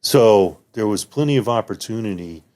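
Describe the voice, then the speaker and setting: Man, 50s, native German speaker, retired officer telling old service stories. Low-pitched, rambling, lots of restarts. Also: animated, moderate pace, darkish tone. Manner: rambling, many restarts and "you know"s. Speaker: retired military officer guest. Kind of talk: casual interview reminiscing.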